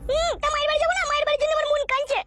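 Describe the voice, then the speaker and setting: A defiant village woman in a comic cartoon. Her voice is extremely shrill and very fast, full of complaint. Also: fairly even intonation, very noisy. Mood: defiant, complaining.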